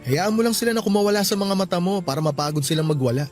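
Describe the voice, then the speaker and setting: A man's voice: high-pitched, animated, very fast, a little mellow, soft background music. A Filipino-accented man acting in a radio drama as a kind, patient young coworker with a polite, reassuring manner. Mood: caring, reassuring. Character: kind, caring young male office coworker, respectful and patient.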